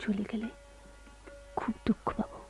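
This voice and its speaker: A woman acting a fond, apologetic young woman in a radio play, coaxing softly, with sitar behind her on a lo-fi recording. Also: normal speed, natural voice. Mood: wistful. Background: sitar music.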